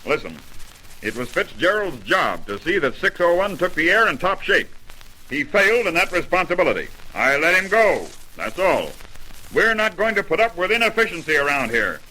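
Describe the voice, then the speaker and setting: A man's voice: fairly high pitch, sing-song, normal speed, sharp tone. A man playing a domineering, brusque airline general manager in 1930s-style radio drama acting. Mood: angry. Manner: brusque, demanding, blustering. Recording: noisy old radio recording.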